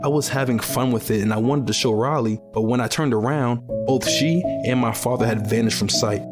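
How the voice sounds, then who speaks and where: Jaded, low-voiced young male survivor played by a man. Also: a little fast, music in the background, lightly acted. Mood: reflective. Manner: weary, skeptical. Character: jaded young man, cautious post-apocalyptic survivor and narrator. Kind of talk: audio drama narration and dialogue.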